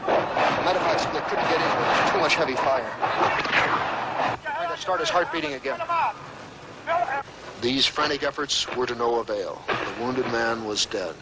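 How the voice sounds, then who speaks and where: A man's voice: mid-range voice, big pitch swings, moderate pace, pauses often. A man reporting on the spot as a war correspondent from a combat zone, in a field recording. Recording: very noisy battlefield field recording.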